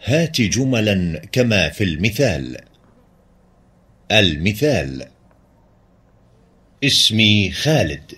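A man reading recorded textbook language drills in a clear drill reading, played back over a call. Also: on the low side, big pitch swings, normal speed, long pauses, sharp tone. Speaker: textbook audio speaker.

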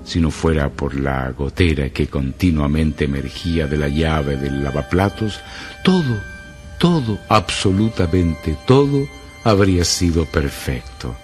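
A Chilean man playing the ominous, measured, dramatic storyteller of a radio mystery drama. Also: a bass voice, big pitch swings, a little mellow, heavily acted. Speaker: narrator. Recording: music behind the voice.

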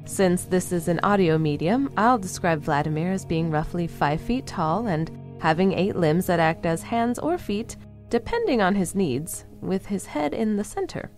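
A wry, sardonic female android voiced by a woman hosts an audio-drama broadcast in a low voice. She speaks formally and articulately, with clear music playing underneath. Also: expressive, moderate pace, a little mellow, natural voice. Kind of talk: audio drama, talk-show hosting.

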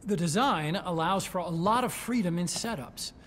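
A man's voice: sits high, moderate pace, close to an everyday voice. A man voices a nervy, thirty-something scientist in a game cutscene. He lectures with theatrical earnestness, over faint background noise. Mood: explaining. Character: excitable nervy male scientist pitching his weapon invention. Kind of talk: video game cutscene acting.

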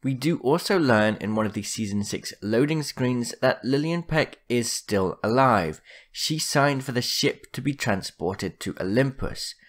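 A man's voice: medium pitch, animated, unhurried. A man hosting a gaming video, narrating a lore explainer from a script.

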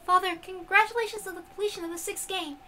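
A woman voicing a meek, devoted young lady who speaks softly, formally and haltingly in a visual novel. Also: very high-pitched, steady tone, a little hiss or hum, voice pushed up. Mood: deferential. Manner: soft, polite, deferential, halting.

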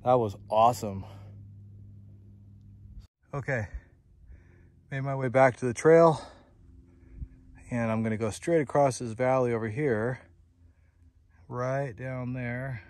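A man's voice: mid-range voice, slow, long pauses, a little mellow, no echo. Tired man hiking a desert mountain. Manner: breezy, talks to himself while hiking, exclamations. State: tired, a bit out of breath from climbing. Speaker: desert explorer vlogger. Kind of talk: casual hiking vlog narration. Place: rocky desert mountainside outdoors. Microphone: handheld phone or action camera.

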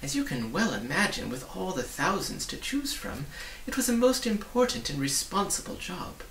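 A gentle, sorrowful old lady from a storybook, acted out by a man reading aloud. She reminisces in formal, wistful phrases, with a creaky edge. The recording is roomy and hissy. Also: high voice, very animated, normal speed, voice pushed up, full character voice. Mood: reminiscing. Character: sad, gentle old woman, a disgraced former royal official. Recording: roomy, distant mic, hissy.

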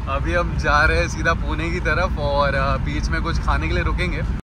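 A man's voice: on the high side, level tone, normal speed, poor audio. A young man chatting casually to viewers while driving, filmed on a phone at arm's length. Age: young adult. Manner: chatty, addresses viewers as bhai, laughs often. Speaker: vlogger. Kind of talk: casual travel vlog. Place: inside a car. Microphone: phone held at arm's length.

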